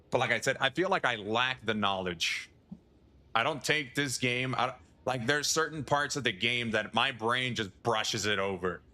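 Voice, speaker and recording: Man, streamer, casual rambling chat, forceful bright tone, mid pitch, swears and chuckles. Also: a little slow.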